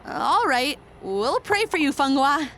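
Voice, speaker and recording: A woman's voice: high-pitched, sing-song, slow, fairly bright tone, slight background noise, full character voice. A woman playing a playful, easily delighted woman in an audio drama. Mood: surprised, agreeable.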